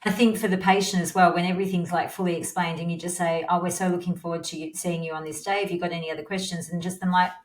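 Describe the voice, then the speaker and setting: Echoey room, woman, podcast host, casual interview chat, slightly low pitch. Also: flat delivery, fast, few pauses. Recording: echoey, reverberant room sound.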